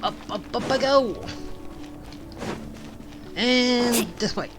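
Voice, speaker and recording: Higher-pitched woman rambling over game music. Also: extremely slow. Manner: rambling, teasing asides to game characters. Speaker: game streamer. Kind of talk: casual gameplay commentary.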